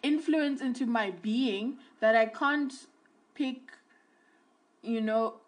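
A woman in her twenties with a South African accent, reflective and quick to laugh as a guest in a casual conversation. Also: on the high side. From Kenya, raised in Botswana. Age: 20s.

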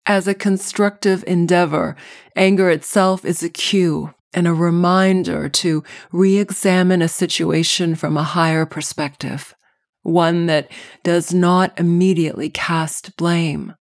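A woman podcast host narrating a scripted guided meditation in measured, deliberate phrases. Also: on the low side, no echo, studio quality.

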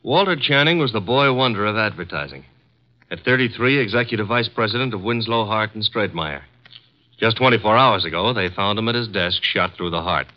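An actor playing a breezy, brisk male assistant detective in a 1950s radio drama. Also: on the low side, expressive, lightly acted. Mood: briefing. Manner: breezy, brisk, matter-of-fact. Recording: dull old radio broadcast.

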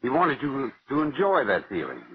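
An actor playing an urgent confidant giving warnings in a 1940s radio drama, insistent and dramatic. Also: male voice, mid-range voice, huge pitch swings, moderate pace, a little hiss or hum. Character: urgent male confidant warning his darling. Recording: muffled old radio recording.

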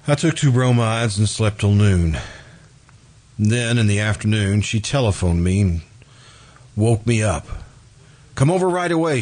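A lowish male voice from an actor as an earnest, uneasy suitor in old-time radio drama. His pitch moves a great deal as he reasons and remonstrates, over a clearly noisy recording. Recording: noisy recording with background hiss.

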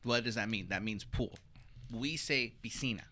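Podcast dude telling a story, very bright voice, lots of likes and you knows. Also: male voice, mid-range voice, moderate pace. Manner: chatty storyteller, uses fillers. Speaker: podcast co-host. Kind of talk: casual group chat.

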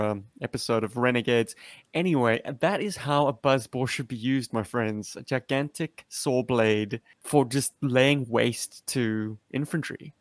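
A man's voice: medium pitch, no echo. A man hosting a casual, slangy chat about action figures as a collector. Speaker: podcast host and toy collector.

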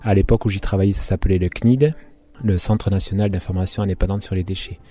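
A man in his thirties from Béarn, speaking in a deep, warm, relaxed voice. He talks about his work as a market gardener in an easygoing interview manner. His speech is informal, with frequent pauses to gather his thoughts. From Béarn, France. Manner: informal, thinks aloud with frequent hesitations.

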